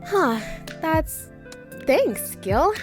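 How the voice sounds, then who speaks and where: Woman playing a wry, high-voiced female cop. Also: very animated, drawn-out words, soft background music, full character voice. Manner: wry, teasing. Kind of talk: dramatic comic-dub acting.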